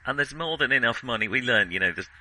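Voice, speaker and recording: A man's voice: mid-range voice, lively intonation, fast, pushed and bright, low-quality recording. A man co-hosting a film podcast, chatting casually and thoughtfully, with some hesitation.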